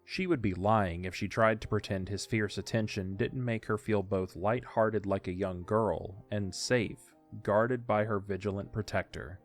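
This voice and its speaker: A man's voice: fairly low pitch, steady tone, measured pace, few pauses, soft background music. A man narrating a scripted audio drama as its storyteller.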